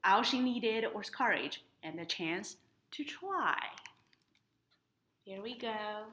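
Young female storytime reader, slow and expressive, very animated melody, mid-range pitch, explains as she goes. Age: young adult. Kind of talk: children's picture book read-aloud with explanatory asides. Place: indoors in front of a painted woodland mural.

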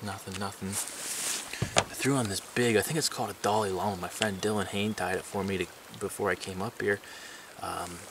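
Tired young man vlogging by a lake. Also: fairly low pitch. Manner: excited, rambling fishing talk. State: tired from staying up all night. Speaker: fishing vlogger. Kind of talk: casual outdoor vlog. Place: outdoors at a lakeshore. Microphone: camera on the shore at a distance. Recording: camera mic at a distance, outdoor water noise.

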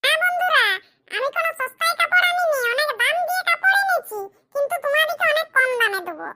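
A man voicing a boastful young cartoon sari seller with a rural West Bengali accent and a pitched-up, emphatic voice. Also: expressive, quick pace, noisy background. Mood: eager sales pitch. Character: boastful, squeaky young bachelor selling saris online. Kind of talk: comic cartoon dialogue.

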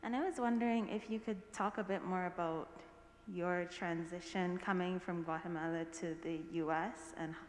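A woman's voice: fairly low pitch, fairly even intonation, normal speed. A young woman from Barbados, politely and tentatively asking a question from the audience. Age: young adult. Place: large chapel hall, audience seats. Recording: roomy hall from afar, faint noise.

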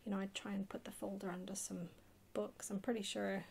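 Hushed ASMR woman with a creaky voice. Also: mid-range voice, little pitch movement, a little fast, slight background noise. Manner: hushed, soft-spoken, partly whispered. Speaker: video host. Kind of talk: ASMR haul, casual chat. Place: indoors at a table.

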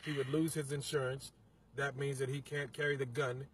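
A middle-aged man, an interview guest, explaining his organization's process in a measured way from the passenger seat of a car, recorded on a phone. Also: mid-range voice, little pitch movement, a bit bright, a little hiss or hum. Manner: measured, explanatory, some halting restarts. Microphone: phone camera held by the interviewer.

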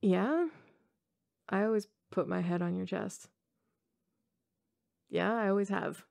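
A woman's voice: medium pitch, steady tone, slow, vocal fry, darkish tone. A woman playing a playful, teasing college-age tomboy gamer girl in an intimate ASMR roleplay, talking casually in slang with hesitations. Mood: playful, relaxed.

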